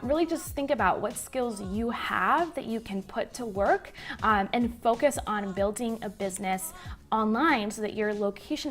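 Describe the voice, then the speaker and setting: Puerto rican woman in her 20s, spanish first language, chatty q&a to webcam, faint music under. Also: mid-range voice. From Puerto Rico. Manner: chatty, gestures a lot. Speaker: business vlogger. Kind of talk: Q&A to camera. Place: living room indoors. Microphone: webcam at desk.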